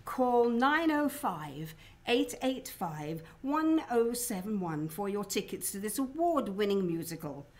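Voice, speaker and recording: A woman's voice: medium pitch, sing-song, measured pace, a little mellow. A woman reading scripted announcements and narration for a radio play. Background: music.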